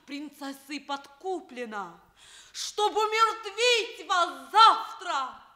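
An actress playing a passionate, scheming enslaved princess in love, with theatrical, drawn-out, declamatory delivery in a radio play. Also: female voice, very high voice, very animated, pushed and bright, heavily acted. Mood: urgent, indignant. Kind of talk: declamatory classic stage acting in a radio play.

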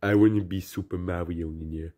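Man playing a husky, grumpy cartoon tough guy. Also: sits low, steady tone, a little fast, mellow. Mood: grudging, grumpy. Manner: irritable, sarcastic. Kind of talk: comedic character skit.

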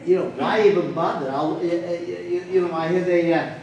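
An older man from Turkey speaking as a guest lecturer in a room discussion, halting, with many restarts and fillers. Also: high voice, steady tone, gravelly, a little mellow, muffled recording. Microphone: podium microphone, standing. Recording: echoey room, distant mic, faint noise.

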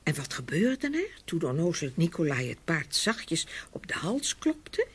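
Casting: woman narrator for children's fairy tales, husky and expressive, with lively pitch. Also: unhurried. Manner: expressive storytelling. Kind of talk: scripted fairy-tale narration.

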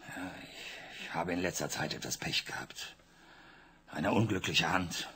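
A desperate, breathy banker. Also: male voice, deep voice, a little slow, pushed and bright, heavily acted. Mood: evasive. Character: cornered male banker, pleading, desperate. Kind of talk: 1980s German radio drama acting.

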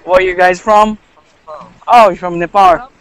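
A man in his thirties with a Japanese accent, chatting in halting, friendly English as he guides a live walking tour outdoors on a phone. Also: sits high, fairly even intonation, a bit bright, poor audio. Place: outdoors in a park. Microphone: phone at arm's length on a video call.

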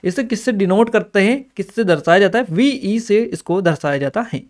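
A man's voice: sits high, lively intonation, normal speed. A man giving an instructive exam-review lesson, reading notes aloud and explaining them as a coaching teacher. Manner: instructive, repeats key points.

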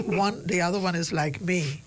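A woman in her nineties talks in a low, gentle voice into a studio desk mic. She reminisces warmly and pauses now and then to recall a name. A faint hum runs under the recording. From Bahamas. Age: 90s. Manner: gentle, warm, searching for names with pauses. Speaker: interview guest, retired education leader. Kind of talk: reflective interview conversation. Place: small studio, indoors. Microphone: desk microphone on boom.

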